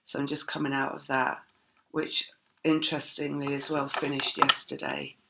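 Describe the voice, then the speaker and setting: A woman reads tarot in a relaxed, meandering way and keeps circling back over her thoughts. Her voice is croaky and gravelly, with a lot of fry, and her pitch stays flat. She pauses often on soft fillers. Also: heavy background noise. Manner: rambling, reflective, many fillers. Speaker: tarot reader. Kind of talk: casual spiritual reading.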